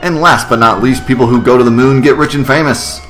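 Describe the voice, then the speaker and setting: A man voicing an overzealous, corny space agency pitchman in a story video game, with an emphatic salesman's delivery. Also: fairly bright tone, lightly acted. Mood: hyped, salesy. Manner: emphatic, booming salesman delivery with drawn-out hooks. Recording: music behind the voice.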